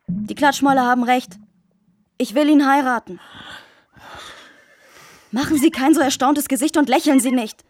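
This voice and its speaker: An actress playing a proud, earnest young gentlewoman, speaking formally in a literary radio drama. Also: high-pitched, moderate pace, pauses often, no room sound, studio quality.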